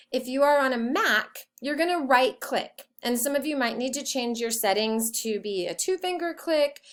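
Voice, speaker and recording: Casting: woman teacher, slightly high voice, walking listeners through software step by step, informally. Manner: explanatory, step-by-step. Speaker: teacher giving a software tutorial. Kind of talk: informal screencast tutorial.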